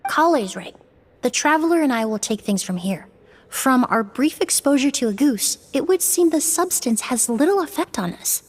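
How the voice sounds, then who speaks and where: Young female investigator, high voice, composed, analytical, formal, measured phrasing, fantasy game. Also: animated. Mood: calm, analytical. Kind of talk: scripted video game voice acting.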